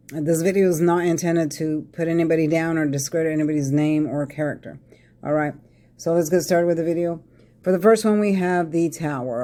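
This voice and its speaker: A raspy-voiced woman, chatting casually to viewers as a tarot reader, congested from a head cold. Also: on the low side, dark tone. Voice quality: raspy, crackly voice. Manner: chatty, addresses viewers as y'all. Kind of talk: casual psychic reading vlog.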